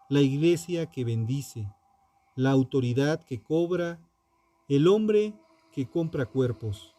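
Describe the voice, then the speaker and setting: A man narrating a scripted true crime story with dramatic, deliberate phrasing and pointed pauses. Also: on the high side, warm tone. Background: music under the voice.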